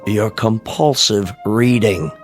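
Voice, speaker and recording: An actor playing a stern, pompous boss in a radio drama, speaking in a formal, condescending, clipped manner. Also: male voice, on the low side, slow pace, soft background music. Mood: scornful. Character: stern, pompous male boss scolding an employee. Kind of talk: old-time radio drama acting.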